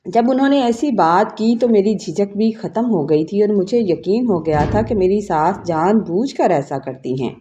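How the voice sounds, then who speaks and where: A woman's voice: medium pitch, lively intonation, hardly any pauses, warm tone, lo-fi sound, a little hiss or hum. A woman narrating a scripted first-person story in an emotive storytelling manner.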